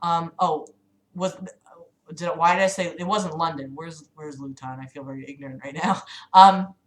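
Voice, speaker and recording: A woman's voice: low-pitched, fairly even intonation, moderate pace, slight background noise, rough recording. A woman chatting casually as a livestream host, rambling hesitantly in a self-deprecating way. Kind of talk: casual chat with livestream audience.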